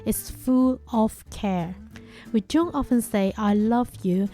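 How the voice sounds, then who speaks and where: A young woman whose first language is Chinese hosts a podcast in a calm, gentle, reflective way. Her voice sits fairly high but sounds mellow and warm. Soft music plays faintly behind her. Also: takes it slowly. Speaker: podcast host. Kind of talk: reflective scripted monologue.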